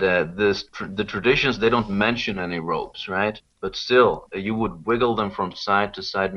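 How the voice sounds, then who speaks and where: Low-pitched man, dull audio. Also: bright, forceful tone. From Sweden. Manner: informal, explanatory, often checking with "you know". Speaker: interview guest, local expert on Rapa Nui. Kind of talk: conversational explanation.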